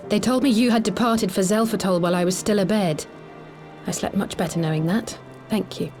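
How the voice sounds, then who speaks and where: Woman playing a composed young noblewoman, mid-pitched. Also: brisk, darkish tone, close to an everyday voice. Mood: grateful, subdued. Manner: formal, articulate. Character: composed, plucky young noblewoman adventurer, twin sister. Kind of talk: dramatic video game cutscene acting. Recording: music under the voice.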